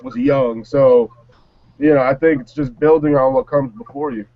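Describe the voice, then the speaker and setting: A man in a casual panel discussion, talking in a measured, reflective way. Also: medium pitch, fairly even intonation, no echo, highs cut off. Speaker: panelist on a music talk show.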